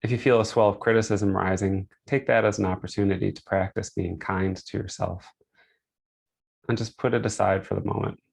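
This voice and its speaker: Low-pitched, creaky-voiced man guiding meditation. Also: steady tone. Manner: gentle, unhurried phrasing with pauses. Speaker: guided meditation leader. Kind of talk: guided meditation, instructional and soothing.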